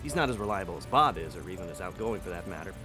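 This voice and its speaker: Lowish-voiced weary space captain. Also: male voice, lively intonation, racing. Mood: tender, weary. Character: male space freighter captain, weary, affectionate, dutiful. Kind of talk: sci-fi radio drama acting. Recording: loud music under the voice.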